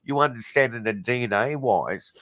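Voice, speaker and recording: A male host tells a family story with lively swings in his voice, recorded close and dry with no room sound. His pitch sits in an ordinary middle range. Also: normal speed, no echo. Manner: animated storytelling. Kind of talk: casual chat.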